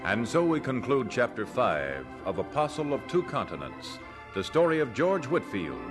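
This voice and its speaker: A man's voice: medium pitch, very animated, moderate pace. A man announcing a scripted radio program sign-off in a formal narrator style. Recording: loud organ music behind voice. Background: organ music.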